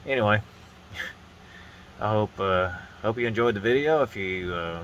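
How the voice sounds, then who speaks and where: A man's voice: lowish voice, unhurried, lo-fi sound, a little hiss or hum. A man with a stuffy nose from a sinus infection, casually talking through a model build at a table, with frequent uh's and restarts. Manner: rambling, relaxed, frequent uh and restarts. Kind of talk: casual hands-on build commentary. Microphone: phone on a holder arm.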